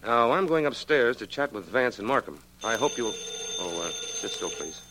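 Actor as businesslike, mid-pitched federal investigator. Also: male voice, expressive, moderate pace, noisy background, close to an everyday voice. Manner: formal, courteous, official. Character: businesslike male federal investigator, polite but firm. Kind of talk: 1940s radio drama acting.

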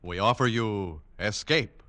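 A man's voice: fairly low pitch, takes it slowly. A man announcing a radio drama programme in formal 1940s broadcast style. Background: music.

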